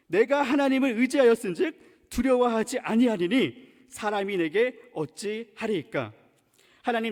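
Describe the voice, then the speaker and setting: Man, pastor, Chungcheong Korean accent, earnest sermon, lively fairly high voice, long reverb of a church sanctuary. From Chungcheong, South Korea. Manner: earnest, emphatic preaching with rising exhortations.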